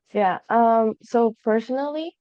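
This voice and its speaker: A woman's voice: fairly high pitch, slow, clean tone, no echo, dull audio. A young woman from Colombia speaking casually as a student panelist on an online call. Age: young adult. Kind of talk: casual self-introduction.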